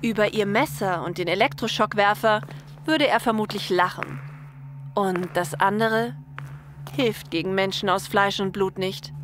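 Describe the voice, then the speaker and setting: A woman's voice: mid-range voice, moderate pace, background noise. A woman narrator reading a scripted story. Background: faint music.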